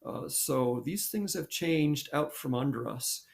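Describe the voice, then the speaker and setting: A man in his sixties, a scientist guest, answering at length over a video call, halting with many fillers and restarts. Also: a little high, lively intonation, gravelly. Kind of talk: thoughtful interview answer. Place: home room with posters.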